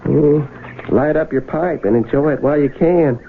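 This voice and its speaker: An actor in a 1970s radio drama playing a folksy, excitable young American country fellow with rustic, exclamatory talk. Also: male voice, a little high, moderate pace, vocal fry. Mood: earnest. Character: excitable folksy country youth, fishing with his friend. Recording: phone-like lo-fi old radio, loud hiss.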